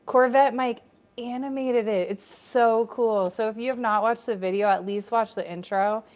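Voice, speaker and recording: A woman's voice: on the high side, steady tone, moderate pace, a little mellow. An American woman co-hosting a casual live stream, chatting upbeat and reading out viewer comments.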